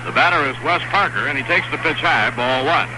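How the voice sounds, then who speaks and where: A man announces a baseball game live from the stadium booth, calmly calling each pitch. His voice sits a little high for a man. The sound comes through a noisy, hissing vintage radio broadcast from the 1960s. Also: fairly quick. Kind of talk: live radio sports commentary.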